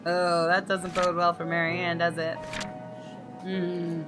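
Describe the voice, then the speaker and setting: A woman's voice: on the low side, monotone, takes it slowly. A woman reading visual novel text aloud and reacting casually and expressively as she plays. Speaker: gamer doing a visual novel let's play. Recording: music under the voice.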